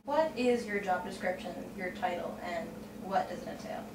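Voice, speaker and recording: A woman's voice: on the low side, moderate pace, background noise. Young woman asking questions, roomy sound. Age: 20s. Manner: friendly, gently curious questions. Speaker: interviewer. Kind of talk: sit-down interview. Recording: roomy, distant mic, music behind.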